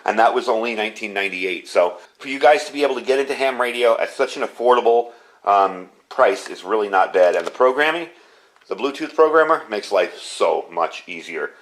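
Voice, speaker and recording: A man's voice: lowish voice, lively intonation, brisk. A middle-aged man with an American accent giving a casual, enthusiastic product review in an informal, conversational way. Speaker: product reviewer, amateur radio hobbyist.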